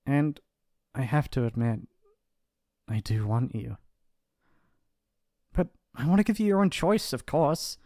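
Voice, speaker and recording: A man's voice: mid-range voice, sing-song, a little slow, long pauses, mellow, no room sound, clean studio sound. A man playing a shy, apologetic young mafia boss's son in an intimate ASMR roleplay, with a hesitant, rambling, awkward delivery. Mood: reluctant, earnest.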